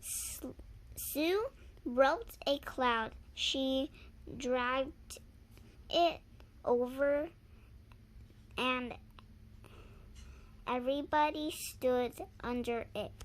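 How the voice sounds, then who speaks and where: A young storyteller reading aloud haltingly, sounding out and repeating words, recorded on a handheld phone. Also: sits high. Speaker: reader and channel host.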